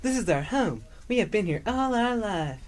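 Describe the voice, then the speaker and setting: A woman's voice: mid-range voice, big pitch swings, moderate pace, darkish tone, lightly acted. A woman voicing a kindly, courteous male panda who guides and reassures in a children's audio story. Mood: welcoming. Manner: reassuring, polite, storybook-proper.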